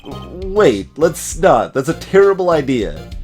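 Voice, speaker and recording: A man's voice: fairly high pitch, big pitch swings, takes it slowly, darkish tone, faint music behind, heavily acted. A man voicing a young male defense lawyer in a video game, dry, wry and hesitant. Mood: wary.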